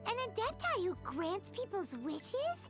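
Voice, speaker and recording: A woman voicing a tiny, excitable girl companion in a video game who talks about herself in the third person. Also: very high-pitched, unhurried, full character voice. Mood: chirpy. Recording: game audio under background music.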